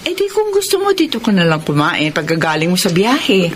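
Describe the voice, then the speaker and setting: Fussy Filipina caregiver, swooping intonation. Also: female voice, on the low side, wildly swooping pitch, a little hiss or hum. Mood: friendly, teasing. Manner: nagging, scolding, quick to fuss. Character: exasperated woman caring for her bedridden fiancé. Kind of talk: Filipino radio drama acting.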